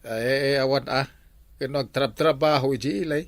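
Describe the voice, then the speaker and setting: A man's voice: medium pitch, moderate pace, natural voice. A man playing an easygoing older father, hesitant and conversational, in an Ilocano radio drama.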